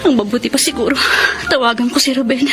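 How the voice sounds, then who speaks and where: An actress playing an honest young woman hurt by her past, soft-spoken and polite, in a radio drama. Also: a little high, fast, over music, close to an everyday voice. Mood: worried. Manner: soft-spoken, tearful at times. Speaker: Chona, young daughter. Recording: radio drama with clear background noise.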